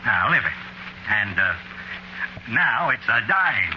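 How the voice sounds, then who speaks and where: Oily polite coffin seller in an old radio play, morbid and chuckly, voice goes all over, muffled old recording. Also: male voice, fairly low pitch, sing-song, a little slow, a little hiss or hum. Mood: cheerfully morbid. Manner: fussy, hesitant, politely unctuous. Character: genteel, chuckling coffin salesman, cheerfully morbid. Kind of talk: 1940s radio drama acting.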